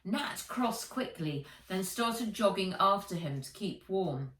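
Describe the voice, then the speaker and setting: A woman author reading her children's book aloud as a storyteller, in measured, expressive narration. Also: medium pitch. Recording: roomy echo, distant microphone.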